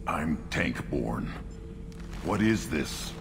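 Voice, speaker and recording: A young tank-bred alien warrior for a video game. The voice is a huge, very low growl thick with gravel. He speaks slowly in short, brooding, restless bursts, full of barely held violence. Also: sing-song, exaggerated voice. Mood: tormented. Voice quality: gravelly, rumbling. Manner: terse, halting, brooding phrases. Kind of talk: video game cutscene acting. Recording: music behind the voice.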